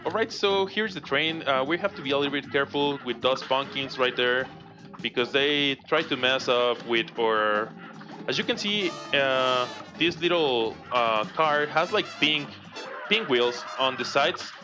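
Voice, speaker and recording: Spanish-accented Mexican man, 30s, relaxed live gameplay commentary; muffled stream audio over clear game music. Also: mid-range voice, unhurried. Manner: relaxed, chatty, laughs often, reads chat aloud. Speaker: speedrunner.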